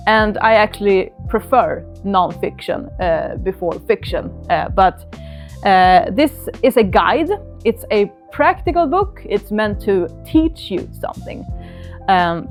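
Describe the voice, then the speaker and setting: Swedish woman reviewing books over faint music. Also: medium pitch. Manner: relaxed, frequent "uh" and "um" fillers, occasional self-correction. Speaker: book vlogger. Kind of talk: casual book review monologue.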